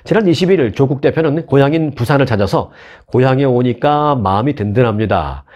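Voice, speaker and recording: A man's voice: mid-range voice, expressive, fairly quick, darkish tone, muffled recording. Chatty Korean man hosting news commentary. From Seoul, South Korea. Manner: chatty and conversational, explains with asides and rhetorical questions.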